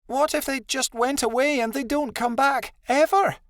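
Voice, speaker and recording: A man voicing an anxious, whining young female animal in a storybook, soft and questioning. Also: extremely high pitch, moderate pace, no room sound, studio quality, pitched above the performer's own voice. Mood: hurt, pleading. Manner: whimpering, questioning.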